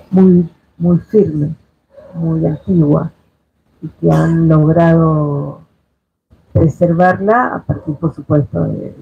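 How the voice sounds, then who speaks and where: A woman's voice: low-pitched, vocal fry. A woman in her fifties from Chile, speaking as a panelist in a discussion, reflective and hesitant with many fillers. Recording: tinny lo-fi sound, faint noise.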